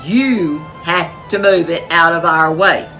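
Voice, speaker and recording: A woman's voice: fairly low pitch, takes it slowly, pushed and bright, faint music behind, exaggerated voice. A woman voicing a crowd of worried villagers in a storybook folk tale. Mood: pleading. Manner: pleading, wailing.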